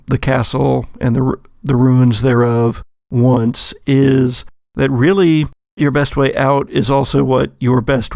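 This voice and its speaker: A man working as game master, narrating a tabletop game in a deliberate, descriptive way with pauses mid-phrase. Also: mid-range voice, creaky, a little mellow, no echo.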